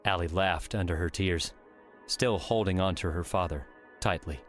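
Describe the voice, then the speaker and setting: A man's voice: low voice, moderate pace. A man narrating a scripted audiobook story, with music playing underneath.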